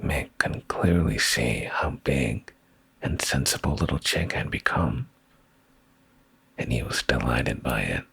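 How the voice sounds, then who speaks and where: Male ASMR bedtime reader, extremely low and breathy, slow, hushed, soothing delivery, very close and dry. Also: expressive, dry recording. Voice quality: deep, gravelly, breathy. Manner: hushed, soothing, often slipping into whispers. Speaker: ASMR streamer reading bedtime stories. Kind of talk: intimate boyfriend ASMR bedtime reading. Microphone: close microphone.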